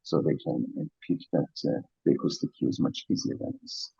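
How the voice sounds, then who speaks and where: Low husky guy, persian accent, lots of uhs, dull dry lecture audio. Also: moderate pace, dark tone, no echo. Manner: hesitant, many "uh" fillers. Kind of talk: academic Q&A answer.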